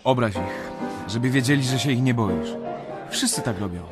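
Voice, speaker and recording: An actor playing a seasoned, cynical older analyst in a radio drama, handing out blunt advice. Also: male voice, medium pitch, moderate pace, over loud music, natural voice. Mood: cynical, urging. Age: middle-aged.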